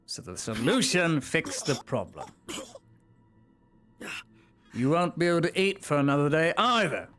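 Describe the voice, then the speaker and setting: A man playing a cold, zealous imperial enforcer in a live roleplay, scornful and commanding, dropping to whispers and rising to shouts. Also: fairly high pitch, expressive, a little slow, pauses often, faint music behind. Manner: swings between soft whispers and sudden shouts. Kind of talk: live roleplay in a virtual world.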